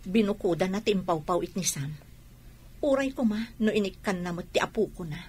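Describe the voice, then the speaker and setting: A woman playing a calm, caring mother and friend in an Ilocano radio drama. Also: lowish voice, brisk, slightly rough. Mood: resigned.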